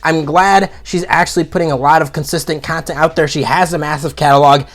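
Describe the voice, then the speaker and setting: A man's voice: a little high, steady tone, a little fast, fairly bright tone. A man with a froggy, sore-throated voice from being sick, giving a blunt, profane comedic review in a casual monologue. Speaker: video reviewer.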